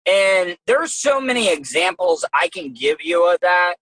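A man's voice: high voice, very animated, a little slow, fairly bright tone, dry recording, rough recording. Blunt, swearing male podcast host. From United States. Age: 40s. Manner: blunt, swears freely, acts out quotes. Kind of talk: casual chat.

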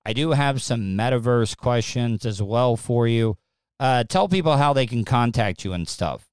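A man's voice: medium pitch, lively intonation, normal speed, clear, resonant tone, no room sound. A man hosting a casual live-streamed talk show, rambling along with repeated words and restarts. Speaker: podcast host.

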